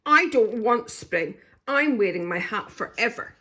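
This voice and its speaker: Woman voicing a squeaky, stubborn little girl bunny. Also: expressive, measured pace, bright, forceful tone, a little hiss or hum. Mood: cross, defiant. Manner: squealing, emphatic exclamations. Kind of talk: children's storybook character voice.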